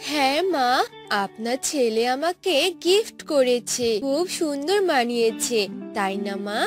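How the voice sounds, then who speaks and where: A woman's voice: high voice, expressive, unhurried, over music. A woman voicing a polite, innocent young newlywed bride in a cartoon, sweet and earnest. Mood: dutiful. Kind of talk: Bengali cartoon voice acting.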